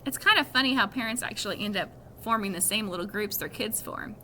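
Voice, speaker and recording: A woman's voice: medium pitch, quick pace, pushed and bright, a little hiss or hum. A woman reading a children's novel aloud expressively, now and then correcting a small slip. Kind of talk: read-aloud storytelling.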